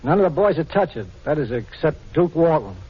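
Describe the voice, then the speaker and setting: An actor playing an excitable, wary underworld sidekick with a husky voice and slangy talk, in a 1940s radio crime drama. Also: male voice, fairly high pitch, fairly quick. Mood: excited insistence. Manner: slangy, streetwise, protesting. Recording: tinny, narrow-band 1940s radio recording.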